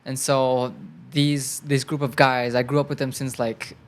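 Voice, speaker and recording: Relaxed young man, Thai native speaker. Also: mid-range voice, unhurried. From Thailand. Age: young adult. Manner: relaxed and chatty, thinks aloud with many fillers. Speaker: guest actor. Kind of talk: casual interview answers.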